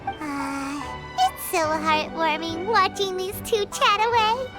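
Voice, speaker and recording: A woman voicing a tiny, perky fairy companion in a fantasy game, squeaky, childlike and excitable. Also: slow, loud music behind the voice. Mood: flustered. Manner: excitable, exclamatory. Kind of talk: anime game character acting.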